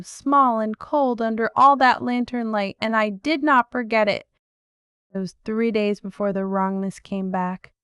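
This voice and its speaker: A woman narrating a first-person story in a measured, reflective way. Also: fairly high pitch, clear, resonant tone, dry recording, studio quality.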